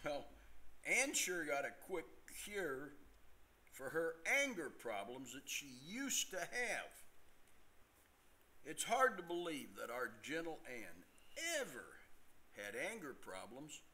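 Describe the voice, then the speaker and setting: An older man playing a corny, genial joke-teller in an amateur staged reading, pausing deliberately before his punchlines. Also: on the high side, big pitch swings, sharp tone, full character voice. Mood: musing.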